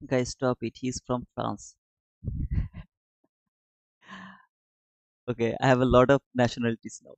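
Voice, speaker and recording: A man's voice: mid-range voice, flat delivery, measured pace, vocal fry, long pauses, no echo. A man from Bangladesh commenting casually on his live trading chart and chatting with viewers in the chat. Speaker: trading streamer. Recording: dull lo-fi dry sound.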